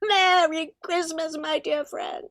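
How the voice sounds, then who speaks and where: A woman's voice: extremely high pitch, steady tone, slow pace, a bit bright, no echo, studio quality, pitched above the performer's own voice, exaggerated voice. A woman voicing a sentimental, grateful Christmas decoration in an amateur radio play. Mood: delighted, affectionate.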